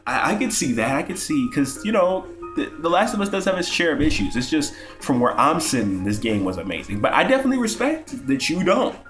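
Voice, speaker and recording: A man's voice: medium pitch, a bit bright. Brief: young male gaming vlogger, sick and sniffly, cursing and rambling, mid-range voice, faint music behind. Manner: rambling, profane, stammering restarts. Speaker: gaming YouTuber. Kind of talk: casual game review.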